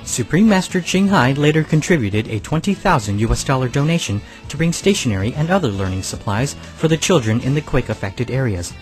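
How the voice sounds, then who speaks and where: A man reading scripted documentary narration in a calm, measured way as the program's voice-over. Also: mid-range voice. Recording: faint music underneath.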